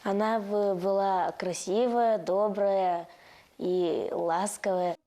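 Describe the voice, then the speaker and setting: A woman's voice: mid-range voice, fairly even intonation, slow pace, dark tone. A young girl giving short, shy answers to an interviewer's questions. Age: child.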